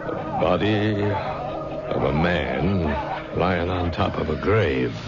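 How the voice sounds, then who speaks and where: An actor playing an observant, grumbling big-city detective with a dry manner in an old radio drama. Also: male voice, deep voice, takes it slowly, over loud music. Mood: sober. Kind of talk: 1970s radio drama acting. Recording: dull old radio recording, background noise.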